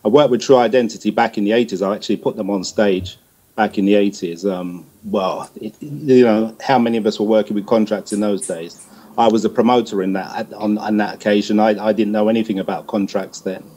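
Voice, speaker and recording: A man's voice: lowish voice, fairly even intonation, brisk, mellow, poor audio. A middle-aged man giving a conversational interview answer as a guest, often repeating words as he feels his way along. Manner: hesitant, repeats words as he goes. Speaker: guest, music promoter and manager.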